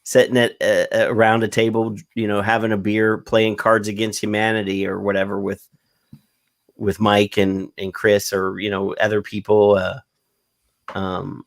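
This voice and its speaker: A man's voice: on the low side, little pitch movement, unhurried. A man hosting a casual podcast chat, telling rambling stories with lots of fillers. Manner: rambling storyteller, many fillers. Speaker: podcast host.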